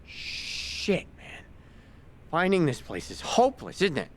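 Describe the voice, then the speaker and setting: A man's voice: fairly high pitch, huge pitch swings, takes it slowly, full character voice. An actor playing a streetwise, casual male sidekick, sceptical but helpful, in a video game. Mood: discouraged.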